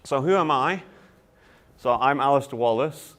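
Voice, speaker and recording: Brief: Scottish lecturer, man, 30s, presenting to students, halting with fillers. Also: medium pitch, fairly bright tone. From Scotland. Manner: hesitant, frequent uh fillers and restarts. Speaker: university lecturer. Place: lecture room.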